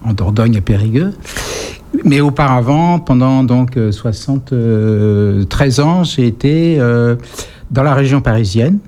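Hesitant older man with a mellow voice. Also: medium pitch, a little slow. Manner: hesitant, many fillers. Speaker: guest. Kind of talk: casual interview answers.

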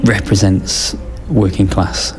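A man's voice: low voice, steady tone, takes it slowly, music in the background. A man in his fifties from the Manchester area, a photographer, answering an interview in a hesitant way. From Manchester area, England. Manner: hesitant, with fillers and restarts.

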